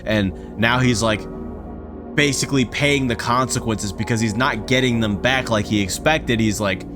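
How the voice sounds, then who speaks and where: Man rambling casually over loud music. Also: mid-range voice, normal speed. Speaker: reaction video creator. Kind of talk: casual reaction and theorizing commentary. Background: background music from games.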